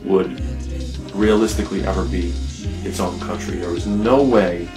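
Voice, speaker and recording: A man's voice: low-pitched, steady tone, moderate pace. A middle-aged American man giving a reflective documentary interview, seated in a dim studio. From United States. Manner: reflective, gestures while talking. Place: dim studio with acoustic panels. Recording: roomy interview with music behind.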